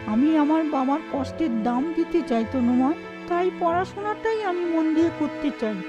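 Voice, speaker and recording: A woman voicing a quiet, studious schoolgirl from a poor family, earnest and plaintive, in a children's moral cartoon. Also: high voice, moderate pace, dull audio, loud music behind the voice, close to an everyday voice. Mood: earnest, wistful.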